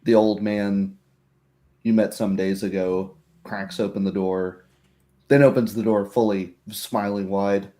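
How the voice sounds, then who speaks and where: A man narrating a tabletop fantasy game as game master, in measured, atmospheric phrases. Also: fairly low pitch, flat delivery, dark tone.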